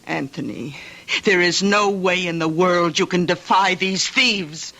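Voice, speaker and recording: A woman's voice: fairly low pitch, unhurried, fairly bright tone. An actress playing a worried, firm middle-aged wife and mother in a radio drama, urgent and demanding. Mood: insistent. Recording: noisy old radio recording.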